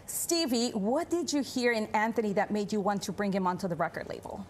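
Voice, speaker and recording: Woman TV host, bubbly, affirming, quick yeahs, faint noise. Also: medium pitch. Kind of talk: interview questions. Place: TV studio.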